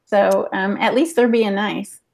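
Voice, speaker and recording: A woman's voice: medium pitch, fairly even intonation, unhurried, gravelly, a little mellow. A woman co-hosting a podcast, chatting casually in a playful, teasing way. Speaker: podcast producer and co-host. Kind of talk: casual live podcast chat.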